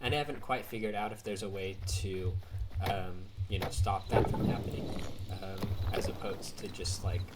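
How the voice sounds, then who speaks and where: A man, sick and raspy-voiced, casually commenting on a game as he plays, rambling with many ums and likes. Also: low-pitched, fairly even intonation, normal speed, very noisy. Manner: rambling, hesitant, lots of um and like. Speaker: gaming YouTuber. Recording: quiet voice under loud game music. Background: loud game music.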